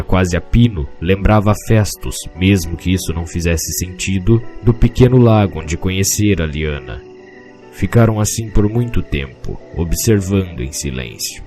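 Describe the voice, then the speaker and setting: A man with a Brazilian accent narrating a scripted fantasy story in a measured, literary way. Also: deep voice, warm tone. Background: music.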